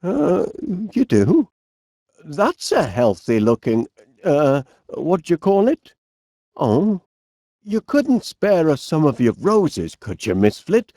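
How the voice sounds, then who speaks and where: A cheerful, middle-aged Northern English inventor, bumbling and polite. His delivery is slow and very swoopy, with quaint exclamations and flustered hesitations. It is a comedy game character, recorded close and dry. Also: fairly high pitch, wildly swooping pitch, warm tone, no echo, exaggerated voice. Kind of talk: animated comedy game voice acting.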